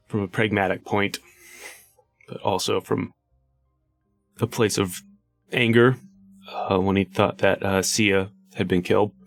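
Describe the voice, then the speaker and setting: A man playing a tabletop role-playing game, describing his character's thoughts and actions in halting, thoughtful phrases. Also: on the low side, dry recording. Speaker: player in a tabletop RPG podcast. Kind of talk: casual game narration and table chat.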